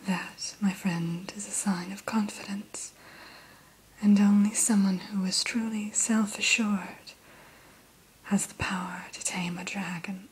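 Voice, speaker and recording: Whispery woman playing a shop keeper for ASMR, slow, very breathy, low-ish voice. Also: fairly even intonation, poor audio. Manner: mostly whispered, gentle and courteous. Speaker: dragon hatchery keeper in roleplay. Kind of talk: ASMR roleplay.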